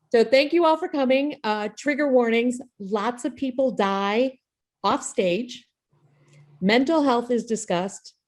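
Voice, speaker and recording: A woman's voice: medium pitch, a little mellow. A woman hosting a table read over a video call, speaking informally with a jokey, self-deprecating manner. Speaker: playwright and host of an online table read. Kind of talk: informal spoken introduction to a show.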